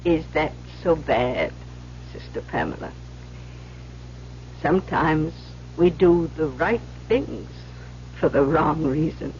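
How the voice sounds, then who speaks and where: An actress playing a stern older Mother Superior in an old radio drama, scolding in sharp, weighty questions on a muffled, humming recording. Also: low-pitched, lively intonation, slow, pauses often, slight background noise, exaggerated voice. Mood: grave, admonishing.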